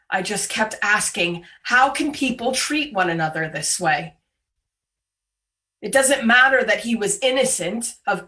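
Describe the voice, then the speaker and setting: Grieving female disciple read by a woman, devoted witness in a church drama, slow sorrowful delivery, set back from the mic. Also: medium pitch, pushed and bright. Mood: anguished. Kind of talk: dramatic monologue reading in worship. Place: remote, on a Zoom call. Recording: distant microphone, video call audio.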